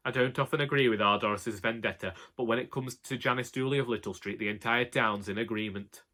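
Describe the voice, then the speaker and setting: A man playing a long-suffering elderly Northern English husband, telling a comic monologue in a wry, deadpan way. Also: medium pitch, fairly quick, lightly acted. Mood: wry, exasperated. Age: older. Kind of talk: comic scripted monologue narration.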